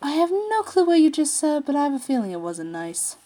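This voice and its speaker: A woman's voice: high voice, big pitch swings, brisk, warm tone, voice pushed up. Woman acting a smug, possessive alien prince. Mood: condescending.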